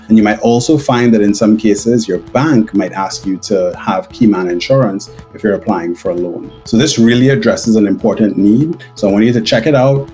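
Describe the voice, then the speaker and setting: A low-pitched male podcast host with a relaxed, conversational delivery. He often repeats words as he starts a question. Soft music plays faintly behind him. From Caribbean. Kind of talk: interview podcast with sponsor read.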